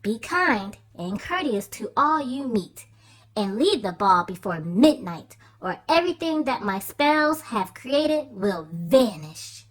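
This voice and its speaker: Woman voicing a gentle, breathy fairy godmother. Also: on the high side, very animated, slow pace, full character voice. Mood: kindly instructing. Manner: gentle, soothing. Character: kind, gentle fairy godmother, magical and reassuring.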